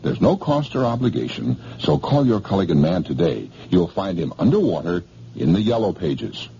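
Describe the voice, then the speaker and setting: A man reading a folksy radio commercial in a chatty pitchman manner, with a husky voice. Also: sing-song, moderate pace, warm tone. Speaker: commercial announcer. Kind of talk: scripted radio advertisement. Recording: dull lo-fi vintage radio.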